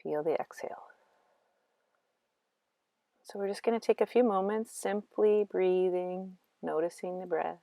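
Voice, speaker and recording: A woman with a slightly low voice leads a guided meditation. She gives slow, gentle instructions and leaves long pauses between phrases. The sound is close and very dry, with no room echo. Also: steady tone, creaky.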